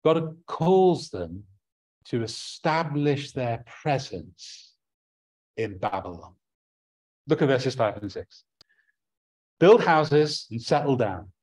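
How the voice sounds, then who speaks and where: A man's voice: mid-range voice, very animated, slow pace, long pauses, darkish tone, slightly rough, rough recording. A middle-aged man with an English accent preaching a sermon from a small room at home, earnest and prone to restarting his words.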